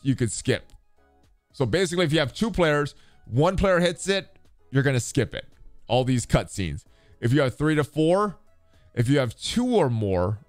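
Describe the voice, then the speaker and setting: A man giving casual commentary on game patch notes, reading items aloud and adding halting, off-the-cuff remarks. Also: medium pitch, clear, resonant tone, no echo, clean studio sound. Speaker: gaming video host.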